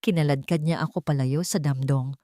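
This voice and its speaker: Female narrator for dramatic audio fiction: lower register, measured and theatrical, recorded very dry. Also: steady tone, mellow, no room sound. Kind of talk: first-person dramatic scripted narration.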